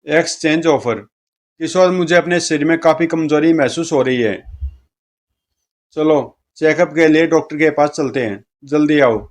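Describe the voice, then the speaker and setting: Guy reading out comic strips, does all the dialogue himself, voice a bit on the high side. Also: a little slow. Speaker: comic book reader, narrator.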